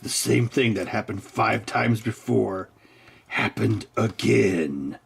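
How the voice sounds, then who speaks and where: A man's voice: fairly low pitch, slow pace, creaky, a little hiss or hum. A man hosting a live stream, rambling casually and joking, laughing often.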